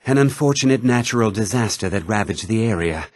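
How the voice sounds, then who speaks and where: A husky, learned fantasy mage. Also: male voice, on the low side, lively intonation, darkish tone, dry recording, studio quality. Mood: grave, scholarly. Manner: formal, measured, precise diction. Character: learned, formal fantasy mage scholar, haughty and measured. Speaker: video game voice actor. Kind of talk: scripted fantasy game dialogue.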